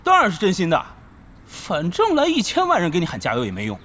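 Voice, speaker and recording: A man acting in an audio drama as an eager, cheeky young gamer with an excitable manner. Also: high voice, quick pace, exaggerated voice. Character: eager, cocky youth, keen young gamer. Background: loud background music.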